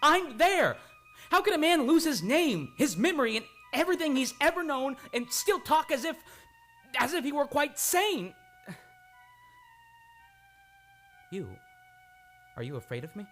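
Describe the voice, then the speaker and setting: An actor playing a tormented man with amnesia in an old-time radio drama, halting and overwrought as he believes himself a killer. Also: extremely high pitch, sing-song, a bit bright, soft background music, exaggerated voice. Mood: desperate, frantic. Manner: halting, overwrought delivery with repeated words.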